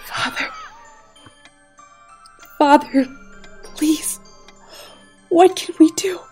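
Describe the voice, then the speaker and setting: Woman acting a high-voiced, fragile grieving mother. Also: extremely slow, warm tone, soft background music. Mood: desperate, pleading. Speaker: actor in an audio play. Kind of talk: dramatic audio play acting.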